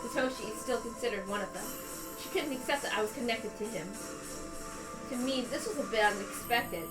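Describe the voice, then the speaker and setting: A woman's voice: a little high, brisk, roomy sound, poor audio. A woman reading a visual novel aloud as a dramatic first-person narration. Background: background music.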